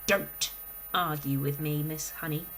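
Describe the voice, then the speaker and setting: A woman voicing a huge, brutal headmistress who bellows her orders slowly and with heavy emphasis. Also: mid-range voice, steady tone, a bit bright, a little hiss or hum. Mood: scornful. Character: huge, brutal female headmistress, bullying and thunderous.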